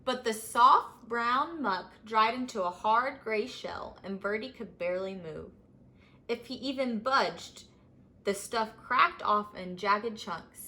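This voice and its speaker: A woman reading a children's story aloud as scripted, expressive narration. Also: fairly high pitch, slow pace. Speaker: storybook reader.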